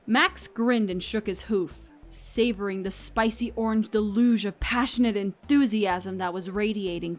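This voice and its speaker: A woman reading scripted story narration as the narrator of an audio play. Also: medium pitch. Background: music.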